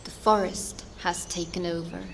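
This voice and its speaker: A woman's voice: on the low side, steady tone, moderate pace, creaky, slight background noise. A woman playing an earnest, dramatic storyteller who narrates a fairytale audio drama and is easily irritated. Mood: evocative. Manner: dramatic, evocative.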